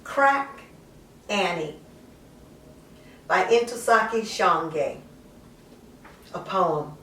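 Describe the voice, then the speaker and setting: A woman's voice: lowish voice, expressive, very slow, fairly bright tone, slight background noise. A woman host informally introducing books and poems. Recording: echoey room, distant mic.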